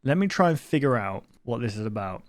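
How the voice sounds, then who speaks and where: A man's voice: mid-range voice, very animated, brisk, dry recording, studio quality. A man giving casual reaction commentary as a video host, thinking aloud in a puzzled, questioning, rambling way.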